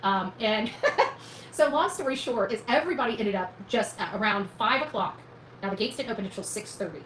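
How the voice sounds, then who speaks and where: A woman's voice: mid-range voice, lively intonation, a little fast, fairly bright tone. A woman telling a rambling, casual story to her viewers in a folksy, emphatic, colloquial way. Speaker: vlogger recounting own experience. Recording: echoey room with faint noise.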